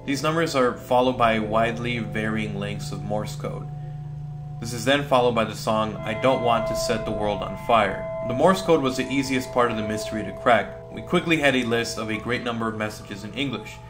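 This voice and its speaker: A man's voice: lowish voice, moderate pace, over music. A man narrating a scripted creepy story about a video game as a video host.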